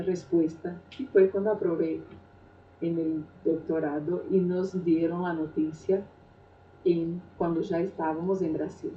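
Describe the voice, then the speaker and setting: A woman's voice: lowish voice, warm tone, slight background noise. Brief: middle-aged Brazilian woman with a Portuguese accent, reflective storyteller, recorded far from the mic in a dull, echoey room. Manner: unhurried, hesitant with frequent "eh" fillers. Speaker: Spanish teacher telling her life story. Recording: roomy, distant, dull sound.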